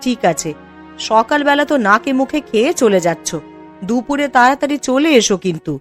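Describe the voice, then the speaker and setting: A woman as a fussing, animated village wife. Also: sits high, a little fast, soft background music. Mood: caring, chiding. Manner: fussing, coaxing. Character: caring, gently chiding young farmer's wife. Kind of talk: Bengali cartoon moral story acting.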